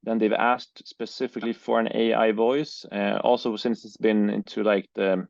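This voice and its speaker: A man answers interview questions in a low, gravelly voice. He hesitates often, filling gaps with uh and um and repeating words. The recording is dry and slightly muffled. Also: little pitch movement, normal speed, a little mellow, dry recording. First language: Swedish. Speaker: guest, business owner. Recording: dry, dull lo-fi sound.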